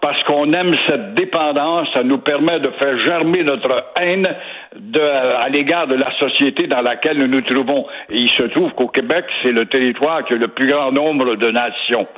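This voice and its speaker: A man with a Québécois accent delivers pointed radio commentary, his voice a little husky. He talks in a sarcastic, mocking way and hammers points home through repetition. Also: medium pitch, moderate pace, a bit bright, lo-fi sound. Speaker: regular commentator.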